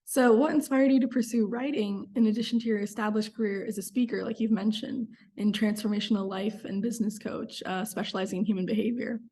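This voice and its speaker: A woman hosting an interview, reading a formal introduction and asking questions in a measured way. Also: medium pitch, steady tone, dark tone, slightly rough. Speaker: interview host.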